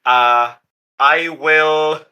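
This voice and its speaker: A man playing a tabletop roleplaying game, talking through his moves casually but with deliberate, somewhat formal phrasing. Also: a little high, fairly even intonation, bright, forceful tone, clean tone.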